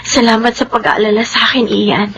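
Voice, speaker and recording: A woman's voice: a little high, little pitch movement, fairly quick, heavy background noise, natural voice. An actress playing an exhausted, battered girlfriend in a Filipino radio drama, pleading and emotional. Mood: subdued, apologetic. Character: battered, exhausted girlfriend who finally lashes back. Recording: music behind the voice.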